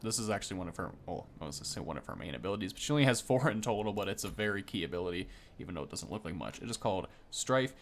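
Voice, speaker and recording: A man's voice: low-pitched, big pitch swings, very fast, few pauses, a little hiss or hum. A man hosting a gaming video, giving casual, easily impressed first-look commentary that rambles and corrects itself.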